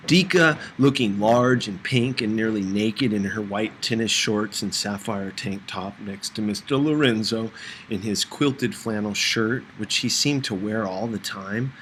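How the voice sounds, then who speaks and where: A man's voice: fairly low pitch, fairly bright tone, low-quality recording. Man narrating a novel, faint background noise. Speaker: audiobook reader. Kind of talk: scripted first-person novel narration.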